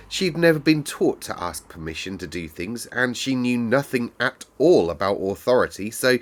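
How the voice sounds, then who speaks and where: Male storybook narrator, lower pitch, measured and expressive read. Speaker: storyteller reading aloud.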